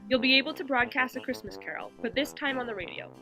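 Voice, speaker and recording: A woman's voice: sits high, fast talker, creaky, over music, rough recording. A woman giving a closing announcement for a theatre production.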